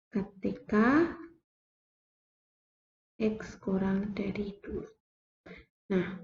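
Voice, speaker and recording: A young woman explaining a math lesson slowly, step by step, like a teacher at a board. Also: mid-range voice, a little hiss or hum. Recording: echoey room, dull lo-fi sound.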